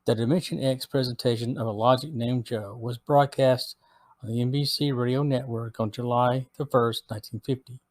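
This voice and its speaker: Measured podcast host man. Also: medium pitch, fairly even intonation, darkish tone, dry recording. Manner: measured, read-aloud delivery. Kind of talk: scripted episode review.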